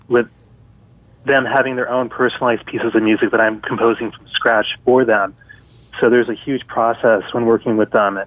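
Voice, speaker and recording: A man's voice: medium pitch, no room sound. Man calling in to a radio interview, earnest composer; tinny phone line, dry sound. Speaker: music composer guest, radio host. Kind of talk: interview call-in. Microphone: phone or call line.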